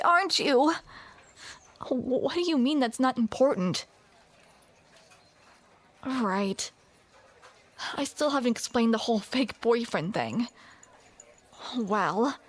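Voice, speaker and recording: A young woman with a high voice acts out a romantic comedy audio roleplay into a close mic. She talks at an unhurried pace, flustered and playful, with awkward little laughs.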